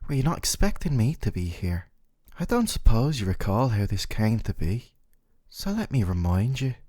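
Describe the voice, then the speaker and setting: A man playing a gentle but possessive, smug dating-sim boyfriend in an ASMR roleplay, soft and coaxing, with articulate phrasing and teasing questions. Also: fairly low pitch, no room sound, clean studio sound. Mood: gently coaxing. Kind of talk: scripted ASMR roleplay monologue to the listener.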